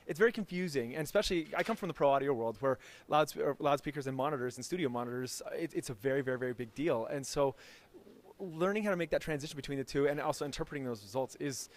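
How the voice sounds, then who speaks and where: A man speaking as an enthusiastic expert guest, explaining technical material informally in an interview. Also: fairly high pitch. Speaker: acoustics lecturer, interview guest.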